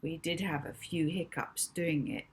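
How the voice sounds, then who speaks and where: A woman voicing an excitable, cheeky young boy in a children's storybook, exclaiming and teasing. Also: low voice, a little slow, slightly rough, full character voice. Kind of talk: children's storybook character dialogue.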